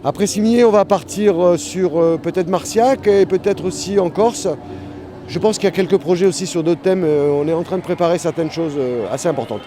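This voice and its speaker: A man's voice: high voice, a little fast, background noise. A man being interviewed as a painter, talking casually and enthusiastically outdoors at a festival. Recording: music behind, outdoor event.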